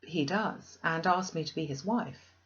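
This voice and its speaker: A woman reader playing an earnest, resolute young woman in a classic novel, measured and formal. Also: low-pitched, fairly bright tone, highs cut off. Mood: subdued, resolute.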